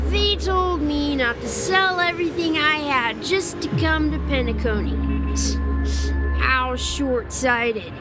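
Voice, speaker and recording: Woman voicing a high, slow, giddy dreamer man. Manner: drawn-out, laughing, rambling. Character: giddy, dreamy little man escaping a miserable life. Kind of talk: video game cutscene acting. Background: loud music.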